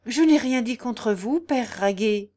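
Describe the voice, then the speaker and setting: A woman as a gentle, firm young peasant woman. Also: on the high side, animated, unhurried, pitched above the performer's own voice. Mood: earnest resolve. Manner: plain, firm, gently resolute.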